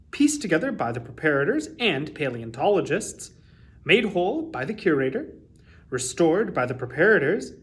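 A man in his thirties reading a children's picture book aloud as a storytime host, with deliberate, emphatic phrasing. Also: fairly high pitch, a bit bright.

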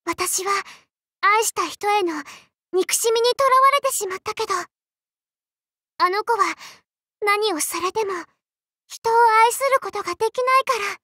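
Timid, very high-voiced girl. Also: normal speed, pauses often, no echo, studio quality. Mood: timid, sorrowful. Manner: soft, hesitant. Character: timid, gentle, lovesick young female alter ego. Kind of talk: Japanese game character voice lines.

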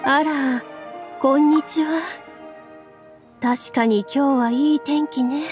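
A woman's voice: high voice, fairly even intonation, slow, darkish tone, soft background music. A woman playing a gloomy young townswoman in a fantasy game, speaking with worried politeness. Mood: melancholy.

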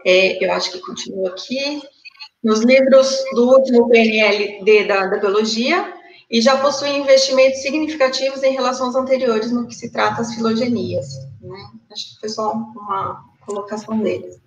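A woman's voice: a little high, steady tone, moderate pace, gravelly, reverberant, muffled recording, a little hiss or hum. A woman moderating a panel over a video call, reading out audience questions with hesitant filler sounds. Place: home room, indoors.

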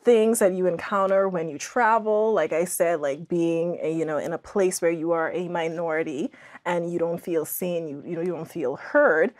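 A woman's voice: fairly low pitch, steady tone, normal speed, a little mellow. A young woman from the Bahamas talking casually and reflectively as an interview guest, often saying "like" as she explains. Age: young adult. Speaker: podcast guest, author. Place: indoor podcast studio, seated in armchair.

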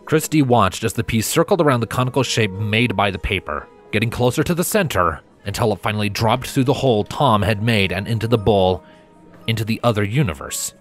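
A man's voice: on the low side, expressive, a little fast, faint music behind. A man reading a scripted fan fiction story aloud as a narrator. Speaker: audiobook narrator.

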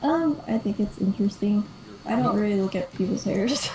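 A woman's voice: mid-range voice, moderate pace, dark tone. A hesitant, giggly woman chatting casually as a caller, sounding a little sick. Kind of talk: casual chat. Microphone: voice call. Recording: noisy internet voice call.

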